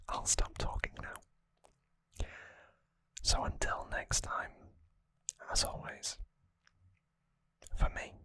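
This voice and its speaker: An ASMR host speaking in a breathy, unvoiced whisper, gentle and earnest, slightly rambling to viewers, close on the microphone. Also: very slow, long pauses, dry recording. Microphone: close microphone in a dry space.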